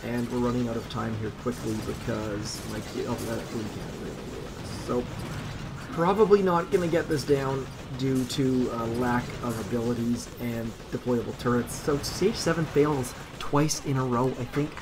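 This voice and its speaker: Gravelly-voiced man chatting over gameplay. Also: medium pitch, normal speed, background noise. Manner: chatty, self-deprecating, thinks aloud. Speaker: gaming channel host. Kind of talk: casual gameplay commentary. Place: home room.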